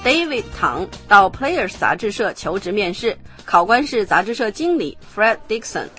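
A woman narrating and explaining a scripted language lesson in a clear, explanatory manner. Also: mid-range voice, very animated, moderate pace, a bit bright, faint music behind.

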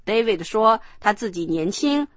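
A woman narrating and explaining a scripted language lesson in a clear, explanatory manner. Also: medium pitch, animated, normal speed, no echo.

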